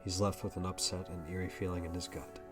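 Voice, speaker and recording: A man's voice: sits low, level tone, fast, close to an everyday voice. A man narrating a superhero audio drama in a scripted storytelling style. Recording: music under the voice. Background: prominent guitar music.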